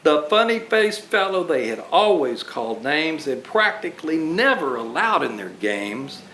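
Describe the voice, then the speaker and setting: A man reading a rhyming children's Christmas story aloud with dramatic, deliberate phrasing. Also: medium pitch, fairly bright tone. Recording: roomy echo, faint background noise.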